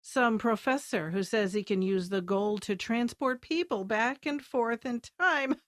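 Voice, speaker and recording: An actress playing a sultry, boastful femme fatale villain in a noir radio drama, coy and taunting. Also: female voice, a little high, measured pace, no room sound, studio quality, close to an everyday voice. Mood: gloating.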